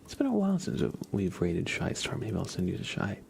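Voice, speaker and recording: A man streaming ASMR, chatting softly and gently in a breathy, often whispered voice, unhurried and informal, close to the microphone. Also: sits low, wildly swooping pitch, slight background noise. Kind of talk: soft-spoken ASMR livestream chat.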